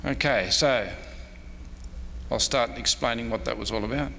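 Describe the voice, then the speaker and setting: A man's voice: mid-range voice, normal speed, fairly bright tone. A man with an Australian accent presenting a prepared history lecture in a hall. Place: convention hall. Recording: reverberant hall with faint noise.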